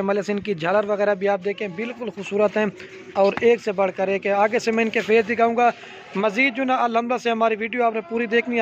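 A man with a Pakistani Urdu accent gives a casual sales walkthrough of his goat farm, repeating himself and often checking "theek hai". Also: high-pitched. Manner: repetitive, salesy, keeps saying "theek hai". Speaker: goat farm seller showing animals. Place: outdoor farmyard beside a garden. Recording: slightly muffled phone audio, faint outdoor ambience.

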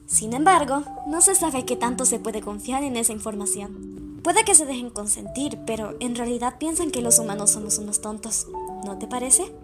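Young female cafe employee acted by a woman, thoughtful and friendly, fairly high pitch, music underneath. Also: lively intonation, moderate pace, lightly acted. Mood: musing. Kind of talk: fan dub of a manga. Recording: music playing behind the voice.

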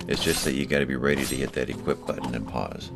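A man's voice: extremely low pitch, steady tone, fast, music in the background. A man hosting a let's play, casually coaching his partner through tactics. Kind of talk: casual gameplay commentary. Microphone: desktop USB mic.

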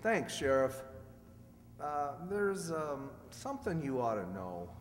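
A man's voice: a little high, sing-song, takes it slowly, slight background noise. A man acting the part of an earnest middle-aged doctor in hiding, in an old-time radio drama, reading on stage. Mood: sober. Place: stage in a community hall. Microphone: stand microphone. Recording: echoey hall stage, distant reverberant sound.

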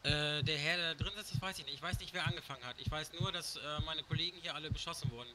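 A confused male witness played by a man rambles quickly in an online roleplay game. The voice is fairly high, with loud noise behind it. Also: close to an everyday voice. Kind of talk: improvised online roleplay in German. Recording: loud hum and noise, gaming chat.

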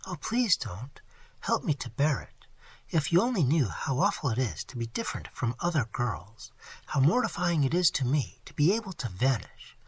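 A man voicing an earnest, tearful young woman with a soft, sighing, pleading delivery in a storybook reading. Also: fairly high pitch, very animated, a little slow, breathy edge, no echo, exaggerated voice. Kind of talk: dramatic audiobook character reading.

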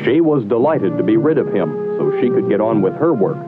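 A man's voice: on the low side, big pitch swings, brisk, over loud music. Muffled 1960s film narrator, formal man.

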